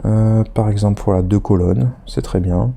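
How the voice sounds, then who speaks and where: Male instructor with a cold, very dark gravelly voice, rambling tutorial delivery. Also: fairly low pitch, moderate pace. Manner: chatty, hesitant, many fillers. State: has a head cold. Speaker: online course instructor. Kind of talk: screen-recorded tutorial walkthrough.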